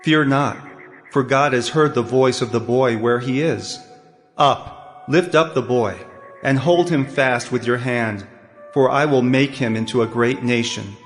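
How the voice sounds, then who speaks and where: An actor playing a reassuring angel calling from heaven in a solemn scripture drama. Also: male voice, medium pitch, unhurried, lightly acted. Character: angel of God, reassuring male heavenly messenger. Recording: long reverb, background music.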